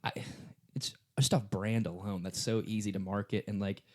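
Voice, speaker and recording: A man's voice: lowish voice, flat delivery, moderate pace. A man co-hosting a podcast in casual chat, joking around and using plenty of slang. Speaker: podcast co-host.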